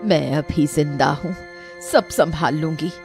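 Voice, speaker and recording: Lady doing a worried ailing mom in a cartoon story, low and slow, firm, protective. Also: gravelly, a little mellow, faint music behind. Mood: worried, resolute. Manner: firm and concerned. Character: ailing, worried, protective middle-aged mother. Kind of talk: dramatized Hindi cartoon story.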